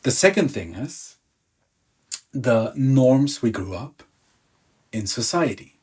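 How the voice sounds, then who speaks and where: Husky-voiced German man, calm coach. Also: mid-range voice, slow. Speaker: emotional release coach. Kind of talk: reflective teaching monologue.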